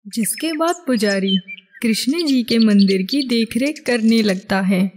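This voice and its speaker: A woman's voice: fairly high pitch, measured pace, darkish tone, faint music behind. A woman narrating a scripted moral story as a storybook narrator.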